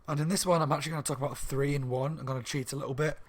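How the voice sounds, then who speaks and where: A slightly hoarse man reviewing a book in a rambling, casual chat at home, with lots of ums and restarts. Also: fairly high pitch, fairly even intonation, very fast. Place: room at home by a window on a main street.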